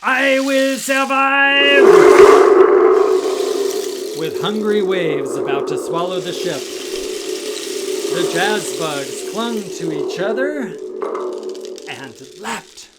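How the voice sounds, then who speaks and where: Male children's author for storytime read-aloud. Unhurried, rhythmic, theatrical, speaking to kids. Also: a little high, lively intonation, heavy background noise. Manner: theatrical, rhythmic, deliberate, addressing young listeners. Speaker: children's author reading own book.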